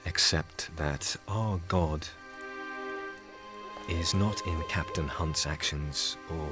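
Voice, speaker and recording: An actor playing a compassionate English sea captain in a radio drama, sincere and pleading. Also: male voice, a bass voice, slow pace, over loud music. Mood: regretful, earnest.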